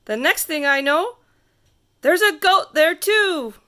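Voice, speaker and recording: A woman reading a rhyming picture book aloud to children in a theatrical, sing-song way with drawn-out emphasis. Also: very high voice, lively intonation, sharp tone. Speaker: storybook reader.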